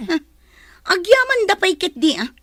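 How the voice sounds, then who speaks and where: A woman playing a mean, quick-tempered stepmother, scolding indignantly in an Ilocano accent in a radio drama. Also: extremely high pitch, very animated, fairly bright tone, dry recording, clean studio sound, exaggerated voice. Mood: defensive, indignant.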